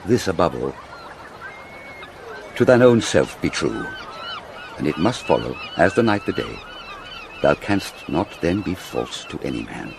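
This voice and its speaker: A man's voice: low-pitched, wildly swooping pitch, a little slow, long pauses, slight background noise, full character voice. An actor with a British accent playing a pompous, fussy older father and counsellor, lecturing in classical Shakespearean style. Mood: earnest, advising. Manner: declamatory, sententious, lecturing.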